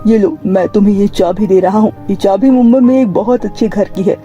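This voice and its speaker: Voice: mid-range voice, steady tone, fairly quick, dark tone, lo-fi sound, faint music behind, close to an everyday voice. A performer voicing an appreciative male company owner in a cartoon story, earnest and formal. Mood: pleased, generous.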